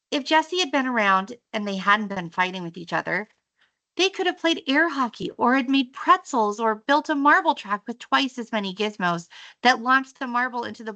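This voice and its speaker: Bright-voiced woman reading a children's story. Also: a little high. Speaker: teacher reading a book aloud. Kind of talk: expressive children's audiobook-style story reading.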